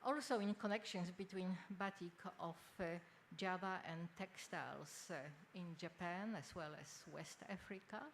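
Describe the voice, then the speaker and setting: An older woman from Poland with a Polish accent gives a formal conference lecture in halting, hesitant phrases. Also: on the low side. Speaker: academic speaker, textile researcher. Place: indoor symposium venue. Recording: noisy live symposium room recording.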